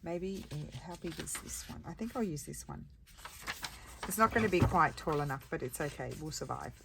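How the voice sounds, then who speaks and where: Woman with a low, croaky voice. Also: expressive, background noise. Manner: thinking aloud, chatty, unhurried. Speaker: paper crafter. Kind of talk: casual crafting chat while working.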